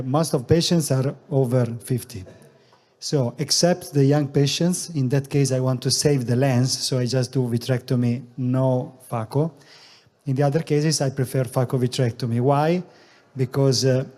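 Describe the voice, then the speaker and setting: A male eye surgeon from Italy on a conference panel, speaking haltingly with non-native phrasing and many fillers. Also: mid-range voice, warm tone, in a large hall.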